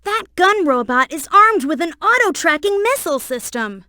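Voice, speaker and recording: A woman voicing a young boy fox sidekick in a video game, earnest, helpful and excitable, with clear childlike delivery. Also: very high voice, moderate pace, no echo, studio quality, heavily acted. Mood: earnest, instructive. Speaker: video game character giving hints. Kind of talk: game voice acting, tutorial hints and alerts.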